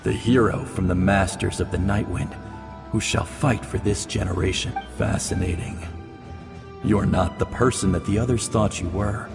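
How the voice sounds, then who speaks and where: A man's voice: sits low, moderate pace, vocal fry. A man voicing a solemn, knowing ancient hero in a video game, with a grave, proclamatory manner. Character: solemn, knowing ancient male hero passing on his name. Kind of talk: dramatic video game voice acting. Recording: long reverb over loud music.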